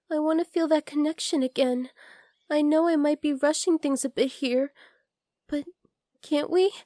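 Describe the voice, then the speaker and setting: A woman plays an ageless female fox spirit with a high, mellow voice, clinging and devoted to her master. She speaks tenderly and very intimately to one listener, in the close, dry sound of an ASMR roleplay. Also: no echo. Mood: yearning, adoring. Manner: soft, tender, intimate, addressing the listener directly.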